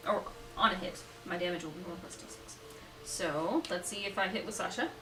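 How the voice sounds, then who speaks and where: A woman's voice: lowish voice, fairly quick, over loud music. A woman playing a tabletop roleplaying game, chatting casually with sweary, sarcastic banter. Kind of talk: casual chat, game talk. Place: around a table indoors at a home game. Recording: roomy, distant mic, clear background noise.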